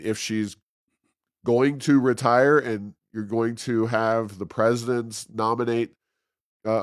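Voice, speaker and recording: American male podcast commentator, lowish voice, measured and halting, very dry recording. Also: dry recording. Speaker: podcast co-host giving political commentary. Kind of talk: conversational political commentary.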